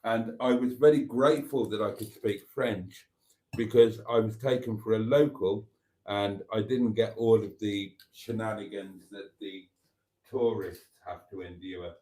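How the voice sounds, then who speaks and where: Slow, low-voiced older Welsh-born man chatting. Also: fairly even intonation, a little mellow, low-quality recording. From Wales. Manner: chatty, rambling, wry asides. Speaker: livestream host. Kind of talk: casual live chat reading comments.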